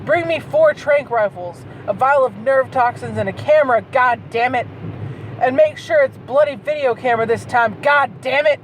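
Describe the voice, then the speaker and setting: An excited, commanding male hunter from a story snaps out orders full of curses, as a woman reads him. The recording has clear car noise behind the voice. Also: high-pitched, moderate pace, lightly acted, rough recording. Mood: urgent, commanding. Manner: shouted commands, cursing.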